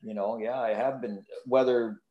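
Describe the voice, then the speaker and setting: A man's voice: mid-range voice, fairly even intonation, moderate pace, dull audio. An older retired man chatting as a podcast guest, rambling with many restarts and filler phrases, joking about himself. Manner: rambling, self-deprecating, many "you know"s and restarts. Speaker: podcast guest, hobby builder. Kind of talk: casual interview chat.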